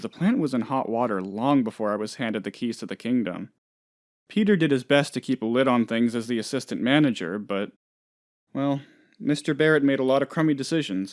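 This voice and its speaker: A man's voice: mid-range voice, close to an everyday voice. Male actor as bumbling video game factory boss, nervous, rambling, earnest, hesitant, downtrodden. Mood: dejected, confiding. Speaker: factory manager character. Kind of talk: video game character voice lines.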